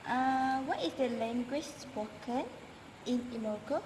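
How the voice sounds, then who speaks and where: Hesitant woman interviewer, echoey hall. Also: high voice. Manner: hesitant, halting questions. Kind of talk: interview questions. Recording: echoey hall with background noise.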